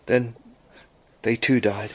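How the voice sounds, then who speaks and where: A man's voice: mid-range voice, level tone, slow pace, husky. A man playing a haunted British adventurer caught up in a plot, speaking intensely and stammering under strain in a radio drama. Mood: grim. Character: Sandy, haunted British adventurer in disguise, anguished.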